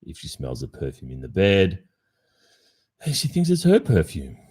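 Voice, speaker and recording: A man's voice: low-pitched, huge pitch swings, measured pace, a little mellow, slightly rough, exaggerated voice. A man with an Australian accent acting a cheating, casually evasive young boyfriend in an ASMR roleplay, speaking conversationally with hesitations. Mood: casual, reassuring. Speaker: roleplay performer.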